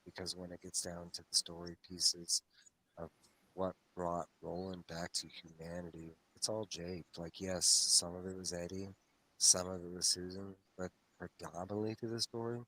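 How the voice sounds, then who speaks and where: A man's voice: sits low, little pitch movement, moderate pace, bright, forceful tone, poor audio. A man talking casually on a discussion show, enthusiastic and meandering, often circling back on his phrases as he explains. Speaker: host of a comics read-along discussion show.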